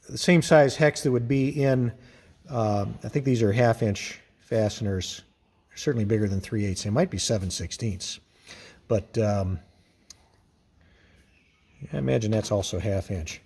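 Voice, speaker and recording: A man giving an informal, hands-on explanation of machinery in a halting, methodical way, as host of a workshop video. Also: medium pitch, a little mellow. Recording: reverberant workshop with clear background noise.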